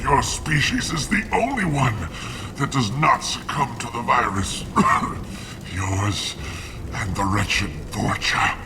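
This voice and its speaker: A man's voice: mid-range voice, lively intonation, vocal fry, distant mic, background noise. An actor voicing a bitter, accusing male alien dying of plague, sick and coughing, in a video game. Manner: slow, accusing, venomous.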